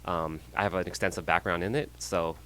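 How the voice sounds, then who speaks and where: Man, thirties, from California, guest on a real estate podcast, low but bright and forceful delivery. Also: fairly even intonation, clean tone. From San Jose, California. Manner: friendly, measured, with frequent ums and small laughs. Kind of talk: casual podcast interview.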